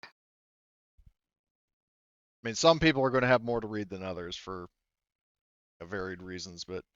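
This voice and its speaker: A man's voice: low voice, moderate pace, fairly bright tone, clean tone, dry recording, lo-fi sound. A man chatting casually and informally as game master of an online tabletop game, swearing freely. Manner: informal, profane.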